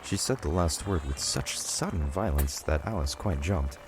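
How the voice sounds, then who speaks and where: A man's voice: rumbling low, expressive, moderate pace, low-quality recording. A man reading scripted audiobook narration of a children's story.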